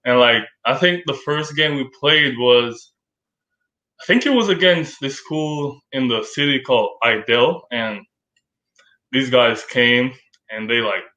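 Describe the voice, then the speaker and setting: A man's voice: medium pitch, roomy sound, low-quality recording. A young man from Jamaica with a Jamaican accent, chatting casually as an interview guest and filling his talk with "like". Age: young adult. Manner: relaxed, lots of "like" fillers. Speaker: college basketball player, guest.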